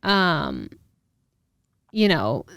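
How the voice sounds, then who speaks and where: A young woman talks through the news as a podcast co-host in an informal, chatty style. Her voice sits a little low for a woman and sounds crisp and smooth. It is recorded close, in a dry space. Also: big pitch swings, very slow, fairly bright tone, no room sound. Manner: informal, conversational. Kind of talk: casual podcast chat recapping news.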